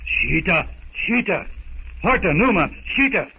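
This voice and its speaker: A man's voice: high voice, sing-song, unhurried, vocal fry, heavily acted. An actor playing a primitive jungle ape-man in a 1930s radio serial, speaking in terse, broken single words. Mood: primal, intent. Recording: dull, faintly noisy 1930s radio recording.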